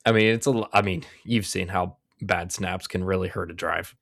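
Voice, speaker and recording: A man's voice: low voice, steady tone, moderate pace, vocal fry, fairly bright tone, dry recording, studio quality. A young man in his twenties hosting a podcast, talking casually and rambling with lots of fillers. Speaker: podcast host. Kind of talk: casual chat.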